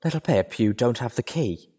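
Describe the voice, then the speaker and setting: A man voicing a gentle, worried female pony medic who talks in a soft, weary, caring way. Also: mid-range voice, lively intonation, moderate pace, slightly rough, voice pushed up. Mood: worried, sorrowful.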